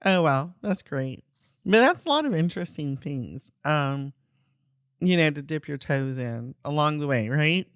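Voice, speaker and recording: A woman hosts a casual interview in a low voice at a slow pace. She is encouraging, offering short affirmations and simple questions, and the sound is close and dry. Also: lively intonation, clear, resonant tone, no room sound. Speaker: podcast host.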